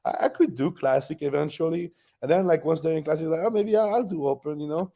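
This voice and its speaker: A man in his thirties with a French accent, a bodybuilder guest telling stories in a relaxed interview, laughing often. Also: on the high side, quick pace, gravelly. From France. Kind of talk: casual interview storytelling.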